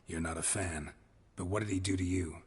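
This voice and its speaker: An actor with an American accent playing a gruff, stoic monster hunter in a video game, terse and deadpan. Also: male voice, deep voice, brisk. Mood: dry, probing. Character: gruff, stoic monster hunter, grown man, dryly unflappable.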